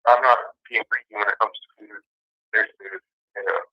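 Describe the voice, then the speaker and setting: A man calling from jail over a muffled phone line, chatting in a halting, repetitive, rambling way. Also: on the low side, steady tone, no echo. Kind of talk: casual phone conversation. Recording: dull, band-limited jail phone line.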